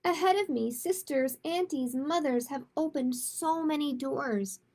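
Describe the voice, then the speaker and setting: A woman hosting a children's storytime, reading a picture book aloud and chatting casually, with an expressive, emphatic delivery. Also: high voice, unhurried.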